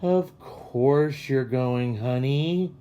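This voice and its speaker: A male reader plays a patient man from a picture book. He speaks slowly in a middle register, coaxing and reassuring with a trace of exasperation. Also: mid-range voice, mellow, clear, resonant tone. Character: patient, coaxing, mildly exasperated adult man, Mister Hartwell. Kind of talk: picture book read-aloud.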